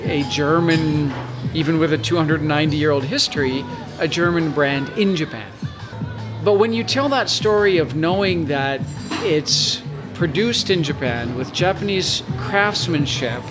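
Higher-voiced man interviewing over music. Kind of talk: conversational business interview.

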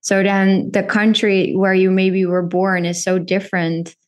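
A woman's voice: mid-range voice, level tone, unhurried, lo-fi sound. A woman with a Dutch accent hosting an interview, chatty and friendly. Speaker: podcast host. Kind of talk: casual interview conversation.

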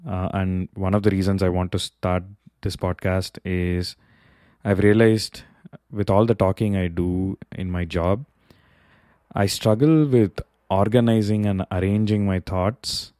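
A man whose first language is not English, hosting a solo podcast and thinking aloud with many pauses and filler words. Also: low voice, steady tone, mellow, clean tone, dry recording, clean studio sound. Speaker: podcast host. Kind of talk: solo reflective podcast monologue. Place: Bay Area, California.

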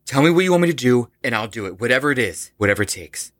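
A man's voice: mid-range voice, expressive, quick pace, a bit bright, natural voice. A man playing an anxious, obsessed rock musician turned killer in an audio horror drama, intense and desperate. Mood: desperate pleading.

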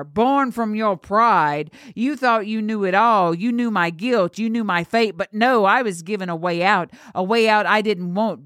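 A woman's voice: low-pitched, clear, resonant tone, no room sound, studio quality. A woman voicing a vengeful, anguished young girl turned demonic monster in a horror story, scornful and theatrical.

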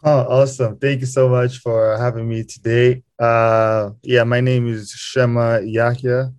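A man's voice: medium pitch, unhurried, no echo, faint music behind. A young man from Rwanda, a podcast guest talking casually about his background, with many "um"s and "you know"s. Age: young adult. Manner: relaxed, lots of fillers like "um" and "you know". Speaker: podcast guest, student and game designer. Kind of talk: casual interview talk.

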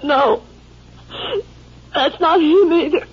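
An actress playing a fragile, grieving newlywed widow, tearful, in a 1950s radio drama on an old broadcast recording. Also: female voice, high-pitched, slow, muffled recording, slight background noise. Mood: grief-stricken.

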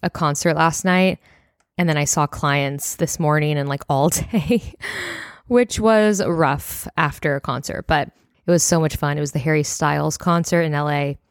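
A woman in her twenties, a little hoarse, hosting a casual solo podcast and chatting with lots of fillers. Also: sits low, moderate pace, no echo, clean studio sound. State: slightly hoarse, voice near breaking. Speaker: podcast host.

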